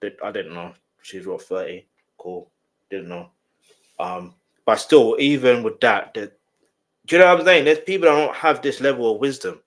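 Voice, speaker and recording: A man with a slightly nasal voice, giving casual reaction commentary and talking straight to the viewer, often repeating words in quick bursts. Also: on the low side, lively intonation, fairly bright tone. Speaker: music reaction host.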